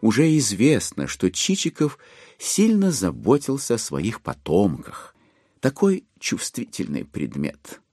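A male narrator tells a literary story in a lowish, gravelly voice. He is theatrical and ironic, taking his time, with faint music underneath. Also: sing-song, measured pace, darkish tone, dry recording, studio quality. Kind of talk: dramatized literary audiobook narration.

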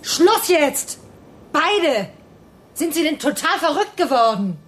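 A woman's voice: sits high, very animated, takes it slowly, fairly bright tone, a little hiss or hum, full character voice. An actress playing an irritable, self-possessed middle-aged woman doctor in a naturalistic radio drama, speaking formally and curtly. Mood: angry, impatient. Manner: curt, formal, reserved.

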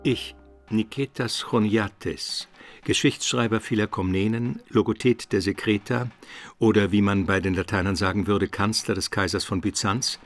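A man playing a dignified Byzantine court historian, narrating a radio play in a formal, measured way. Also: on the low side, steady tone, faint music behind, close to an everyday voice. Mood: grave, grateful.

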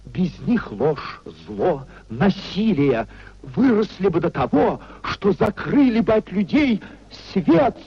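An actor playing an anguished, idealistic young husband in a 1950s Russian radio play, pleading and declaiming theatrically. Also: male voice, high-pitched, sing-song, slow, husky, muffled recording, heavily acted. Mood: impassioned oratory. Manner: theatrical, declamatory, repeating phrases emotionally.

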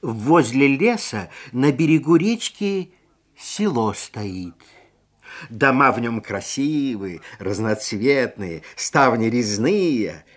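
A man narrating a children's fairy tale in a theatrical, expressive way, stretching key words for emphasis. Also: mid-range voice. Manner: theatrical, deliberate, drawn-out emphasis. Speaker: storyteller.

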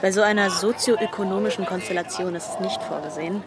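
A woman's voice: medium pitch, fast, close to an everyday voice. An actress playing an aloof, coolly defiant teenage schoolgirl in a naturalistic radio drama, talking in an offhand, dismissive way. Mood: cool, detached. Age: young adult. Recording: busy outdoor crowd noise behind.

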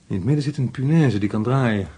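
A man's voice: medium pitch, a little fast, dark tone, slightly rough, lightly acted. An actor playing a methodical intelligence officer briefing his superior in a radio drama, explaining in an insistent way.

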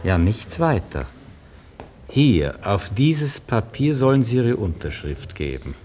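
An insistent old rabbi in a radio drama, with a fairly low voice. He swings animatedly in pitch as he urges and pleads with great earnestness. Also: very animated, takes it slowly, mellow. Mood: urgent, pleading. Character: insistent, earnest elderly rabbi who claims miracles. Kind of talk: radio play dialogue.

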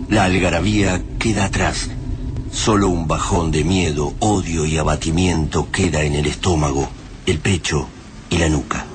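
A man's voice: sits low, steady tone, normal speed, slight background noise, close to an everyday voice. A man with an Argentine accent narrating a dystopian radio drama in a grave, literary manner.

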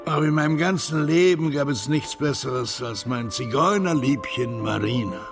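A male actor playing an older, tipsy, sentimental bon vivant in a radio drama, courteous and talkative with a gravelly voice. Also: medium pitch, moderate pace, music in the background, natural voice. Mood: nostalgic, boastful. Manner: drunken, nostalgic, gentlemanly.

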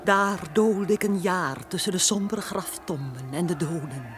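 A woman's voice: on the low side, moderate pace, lightly acted. Actress as a solemn, wronged noblewoman. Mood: mournful. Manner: solemn, declamatory. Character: wronged, grieving noblewoman, dignified and vengeful. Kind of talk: old-fashioned Dutch radio drama acting.

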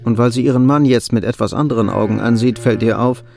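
An actor playing a wry, detached storyteller, narrating a radio play in a measured, dryly ironic manner. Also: male voice, medium pitch, gravelly, over music, natural voice. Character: wry, detached male storyteller of a married couple's troubles.

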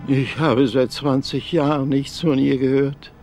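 An actor playing a gruff older father in a German radio crime drama, curt and dismissive. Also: medium pitch, steady tone, unhurried, darkish tone, soft background music, close to an everyday voice. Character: gruff, curt old father of missing woman.

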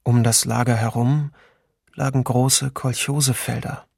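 An actor playing a male eyewitness in a documentary radio play, soberly recounting wartime atrocities in measured narration. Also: medium pitch, level tone, vocal fry, darkish tone, slightly rough, no echo, clean studio sound. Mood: sober, grave.

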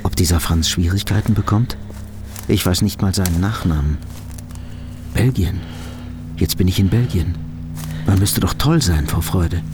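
A man's voice: low voice, measured pace, pauses often, noisy background. An actor playing a hunted, weary man in a radio drama, brooding and anxiously questioning himself in inner monologue. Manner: brooding, intense self-questioning.

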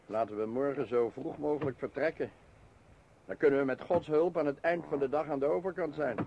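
An actor playing a devout, cautious mountain guide in a radio play, speaking in an earnest, formal way. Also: male voice, fairly high pitch, brisk, lightly acted. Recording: muffled noisy old radio recording.

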